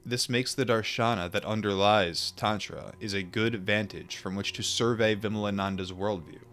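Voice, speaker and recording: Male streamer, lower register, informal and musing, shifting between book reading and reflective chat, light music bed. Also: fairly even intonation, fairly bright tone. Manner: musing, informal, with pauses and repetitions. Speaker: streamer reading a book aloud and chatting with viewers. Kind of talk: book read-aloud mixed with casual reflective chat.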